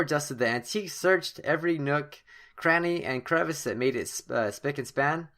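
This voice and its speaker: Bright-voiced man doing a jokey let's play. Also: medium pitch. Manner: jokey asides, theatrical reading, stumbles over words. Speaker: host of a gaming channel. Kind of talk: let's play, reading game text aloud with joking commentary.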